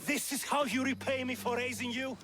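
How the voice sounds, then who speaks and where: Blustery offended rich dad from a game, bright pushy voice. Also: male voice, very high voice, steady tone, brisk, faint music behind. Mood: contemptuous. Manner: indignant, blustering. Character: indignant male noble father. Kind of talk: video game voice acting.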